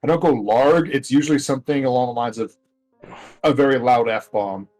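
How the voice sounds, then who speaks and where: A man's voice: mid-range voice, normal speed, a little mellow, soft background music. A man making wry wisecracks in casual chat with friends over a video game. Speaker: co-commentator on a game series.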